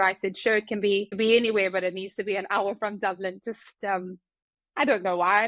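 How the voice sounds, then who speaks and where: South African woman, 30s, chatty with lots of ums, mid pitch, dry close recording. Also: dry recording. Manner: chatty, many fillers and restarts. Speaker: guest doctor. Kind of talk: casual interview talk.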